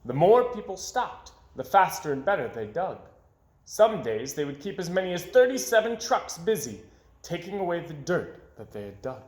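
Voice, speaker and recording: A man reading a children's story aloud expressively, with dramatic delivery that drops to hushed phrase endings. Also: a little high, big pitch swings, moderate pace. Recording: echoey room.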